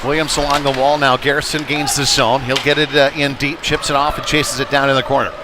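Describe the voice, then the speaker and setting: A man's voice: medium pitch, level tone, fairly quick, background noise. A man doing live play-by-play commentary of a hockey game, following the action in broken, trailing phrases. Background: arena music over public address.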